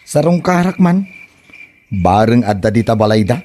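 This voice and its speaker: A man's voice: mid-range voice, very animated, normal speed, warm tone, lightly acted. A man with an Ilocano accent playing an eager, lovesick young suitor who is easily surprised, in a comedy audio drama. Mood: eager, hopeful.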